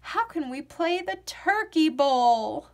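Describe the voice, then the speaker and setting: A high, bouncy storybook boy of nine, bursting with excitement about football, as a woman reads him aloud. His exclamations leap up and down in pitch. He sounds like a kid who can't wait to play. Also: slow, heavily acted. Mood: dismayed. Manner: eager, exclaiming.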